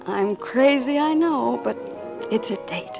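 A woman's voice: a little high, expressive, measured pace, creaky. An actress playing a plucky young working-class American woman in love, wry and dreamy, in 1940s radio drama style. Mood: wry, excited. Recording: muffled vintage radio recording with music.

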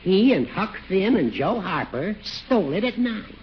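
An actor playing a dry, needling old judge in a 1940s radio comedy. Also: male voice, high voice, big pitch swings, a little slow. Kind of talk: 1940s radio sitcom acting. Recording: dull, noisy 1940s radio recording.

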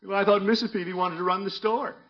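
An actor playing a pompous, scheming middle-aged small-town commissioner in 1950s radio comedy, blustering and self-important. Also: male voice, high-pitched, steady tone, fast. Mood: indignant. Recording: muffled vintage 1950s radio recording.

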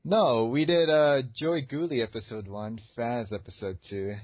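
A man's voice: mid-range voice, fairly even intonation, measured pace, no echo, dull audio. A man in his twenties co-hosting a podcast in casual, teasing chat. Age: 20s. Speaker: podcast co-host.

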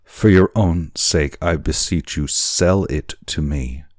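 Man playing a deep-voiced, earnest, despairing hero. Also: unhurried, a little mellow, no echo, clean studio sound. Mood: pleading. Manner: formal, earnest, emphatic. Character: earnest, resolute, despairing Hawaiian man pleading for a bottle.

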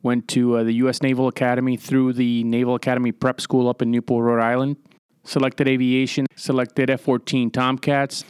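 Clear-voiced middle-aged man, matter-of-fact. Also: mid-range voice, a little mellow, dry recording, studio quality. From San Juan, Puerto Rico. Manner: matter-of-fact, military briefing phrasing. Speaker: podcast guest, senior naval officer. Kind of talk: informal interview explanation.

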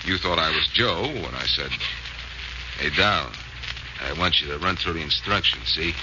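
A man's voice: a bass voice, moderate pace. An actor playing a confident amateur detective hero in a 1940s radio drama, assured and reassuring as he explains. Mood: calm, explaining. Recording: hissy muffled old radio broadcast.

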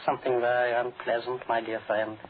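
An actor playing a courteous but menacing doctor in 1940s radio style, speaking formally and with measured politeness. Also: male voice, medium pitch, level tone, natural voice. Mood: calmly menacing. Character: cold, courteous doctor turned menacing killer. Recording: scratchy, tinny 1940s radio broadcast.

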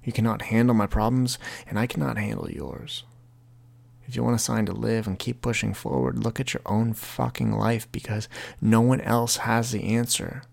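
A man's voice: on the low side, fairly even intonation, normal speed, gravelly, a little mellow. A man in his twenties hosting a podcast, talking earnestly and confessionally and reading from his own book. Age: 20s. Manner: earnest, confessional, heavy language. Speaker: podcast host.

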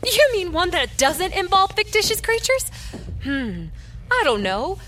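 A woman's voice: very high-pitched, sing-song, a little slow, a bit bright, slight background noise, heavily acted. A woman acting a practical, dryly sarcastic small-town library worker in an audio drama. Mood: wry, skeptical.

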